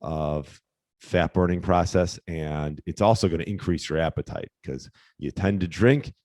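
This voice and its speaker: A man with a very low, slightly hoarse voice, giving fitness tips on his podcast in a relaxed, rambling way. He pauses on fillers and restarts his sentences. The sound is dry and close to the mic. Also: dry recording. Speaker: podcast host, fitness coach. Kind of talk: casual solo podcast tips.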